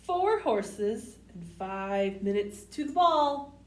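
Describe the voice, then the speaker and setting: Slow, lilting woman reading to children, roomy sound. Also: fairly high pitch. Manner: simple short sentences, expressive, addresses children with questions. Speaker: library storytime host reading picture books. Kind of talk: children's read-aloud with asides to young listeners. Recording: roomy, distant mic, faint background noise.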